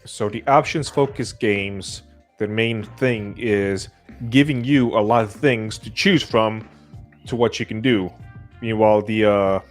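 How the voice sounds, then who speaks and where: Lowish-voiced male moderator over music. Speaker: discussion host and moderator. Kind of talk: casual moderating, summing up. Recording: music behind the talk. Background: background music.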